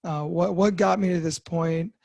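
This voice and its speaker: A man in his fifties speaks in a candid personal monologue, stopping and restarting often as he searches for words. His voice is mellow and sits a little high for a man. The recording is dry and close. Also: steady tone, gravelly, no echo. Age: 50s. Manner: halting, with many fillers and restarts. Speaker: podcast host sharing recovery story.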